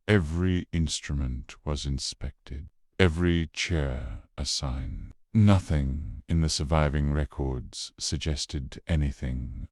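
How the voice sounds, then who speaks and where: A man with an extremely low-pitched voice narrates a scripted horror mystery. His delivery is slow and solemn, broken into short, measured dramatic phrases. The sound is close and dry, with hardly any room in it. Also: darkish tone, dry recording.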